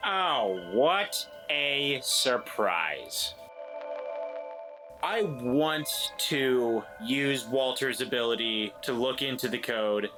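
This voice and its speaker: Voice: medium pitch, expressive, very slow, over music, rough recording. A player in an actual-play tabletop game, talking casually and improvising.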